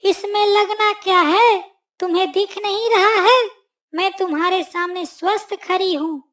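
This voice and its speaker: A woman's voice: very high voice, a little slow, fairly bright tone. A woman voicing a devout village mother in a cartoon moral story, earnest and emphatic. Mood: fondly chiding. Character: devout village mother, grateful, chiding her son fondly.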